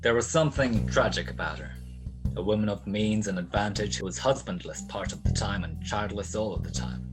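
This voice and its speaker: A man's voice: lowish voice, a little fast, music in the background, natural voice. A man playing a dry, world-weary private detective in a radio drama, questioning in a laconic, clipped way. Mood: world-weary, reflective.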